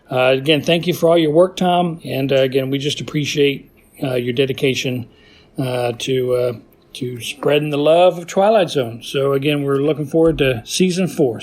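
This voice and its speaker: Male podcast listener, voice a little high, chatting casually and keenly about classic TV, circling back and pausing often. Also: darkish tone. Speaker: listener sending audio feedback to a podcast. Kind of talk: casual spoken commentary on classic TV.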